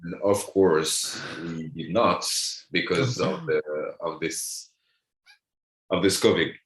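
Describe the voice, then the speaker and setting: A man's voice: sits low, fairly even intonation, takes it slowly. A man, chatting casually as a guest comedian, often repeating words as he searches for them. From France. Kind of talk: casual chat. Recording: roomy echo.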